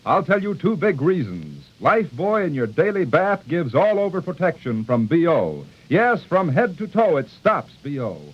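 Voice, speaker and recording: A man's voice: on the high side, sing-song, moderate pace, few pauses, slightly rough. A man reading a scripted 1940s radio advertisement as a commercial announcer. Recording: dull 1940s radio recording.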